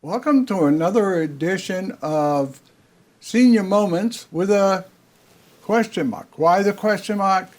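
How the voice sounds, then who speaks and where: A man's voice: high-pitched, a little slow, darkish tone. An older man hosting a casual community TV interview, joking and telling anecdotes. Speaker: talk show host.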